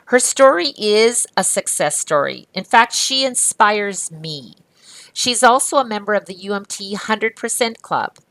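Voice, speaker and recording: A woman's voice: mid-range voice, fairly bright tone, no room sound, poor audio. A woman presenting a music course in upbeat, polished, scripted narration. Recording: dry studio voice-over.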